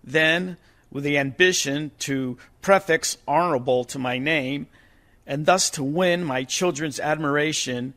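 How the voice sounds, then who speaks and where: Slow, rueful failed father played by a man. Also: a little high, fairly bright tone, full character voice. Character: defeated, self-pitying father of successful children. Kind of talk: theatrical monologue for community radio play.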